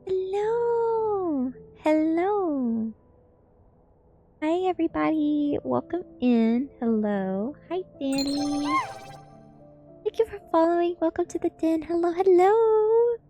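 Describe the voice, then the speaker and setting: A woman's voice: very high-pitched, expressive, slow pace, dark tone, soft background music. A woman streamer reading a book ASMR style and chatting casually with viewers in a playful way. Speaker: VTuber streamer.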